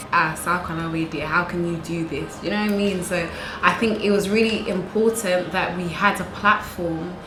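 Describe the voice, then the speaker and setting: London woman in a noisy, echoey room. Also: lowish voice. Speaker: interviewee, youth organisation founder and teacher. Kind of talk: conversational interview answers. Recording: echoey, distant mic, noisy background.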